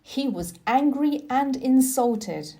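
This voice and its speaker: A woman reading scripted narration for children like a teacher, deliberate and expressive. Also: sits high. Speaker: storybook reader, teacher.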